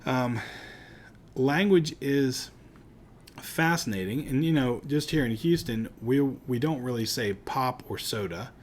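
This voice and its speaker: A man's voice: medium pitch, slow, a little mellow, a little hiss or hum. A man from Pittsburgh with a Pittsburgh accent, teaching a recorded lecture in a chatty, conversational way with casual asides.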